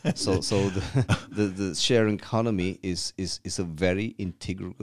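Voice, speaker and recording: A man's voice: low voice, steady tone, normal speed. A man from Sri Lanka, a podcast guest explaining things casually in a rented room and often restarting his words.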